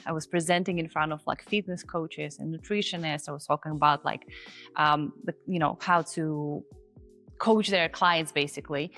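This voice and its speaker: A non-native English-speaking woman coach talks in a lively, casual teaching style. Her voice sits a little low for a woman. Soft music plays faintly under her. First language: not English. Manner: chatty and animated, often acts out example voices. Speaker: confidence coach and host.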